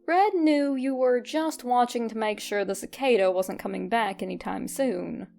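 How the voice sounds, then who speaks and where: A woman with a fairly high voice reads a fan fiction story aloud. She narrates from a script in an expressive storytelling style. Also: normal speed, vocal fry, faint music behind. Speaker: fan fiction podfic reader.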